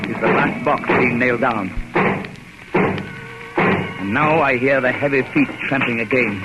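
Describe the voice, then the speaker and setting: A man's voice: mid-range voice, over loud music, lightly acted. An actor playing a trapped young solicitor reading his journal in a dramatic 1930s radio drama style, full of dread. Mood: tense dread. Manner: slow, dramatic, breaking into desperate cries. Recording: very noisy old 1930s radio recording.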